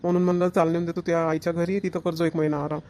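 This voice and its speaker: A man's voice: fairly high pitch, little pitch movement, racing, warm tone, a little hiss or hum, natural voice. A man voicing a concerned, touchy son-in-law in a family cartoon, earnest and complaining, with a Vidarbha Marathi accent. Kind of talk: family comedy cartoon dialogue.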